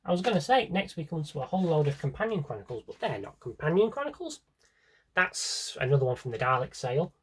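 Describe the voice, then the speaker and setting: A man with a slightly husky voice rambles casually to viewers as a collector, thinking aloud and interrupting himself in a wry way. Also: a little high, big pitch swings, fast, a little hiss or hum. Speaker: collector showing his audio drama CDs. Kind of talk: casual rambling vlog chat.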